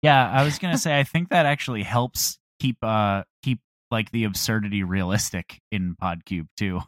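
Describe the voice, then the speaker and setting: A man's voice: fairly low pitch, normal speed, fairly bright tone, dry recording, clean studio sound. A man chatting casually and a little hesitantly as a comedy podcast guest. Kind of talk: casual chat.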